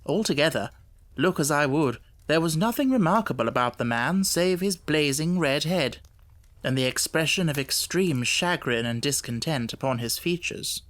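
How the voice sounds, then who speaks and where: A man narrating a classic story as an audiobook reader, in a measured, literary storytelling delivery. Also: a little high, expressive, a bit bright.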